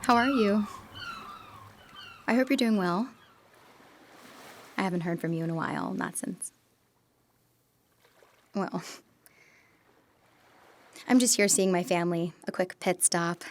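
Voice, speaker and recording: A woman's voice: fairly low pitch, slow pace, long pauses. A young woman playing a conflicted former girlfriend in an audio drama, earnest and hesitant in her delivery. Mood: awkward, hesitant.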